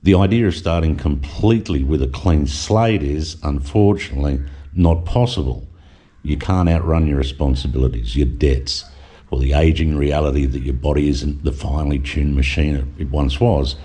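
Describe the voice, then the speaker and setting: A man's voice: very deep voice, normal speed, warm tone, husky. A middle-aged man reading a reflective voice-over with wry asides. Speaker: vlogger narrator.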